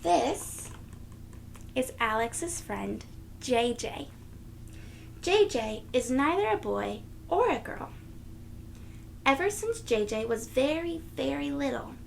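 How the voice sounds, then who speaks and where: A woman reading a children's picture book aloud as a storyteller, in a deliberate, teacherly, sing-song way for young listeners. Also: sits high, creaky, slight background noise.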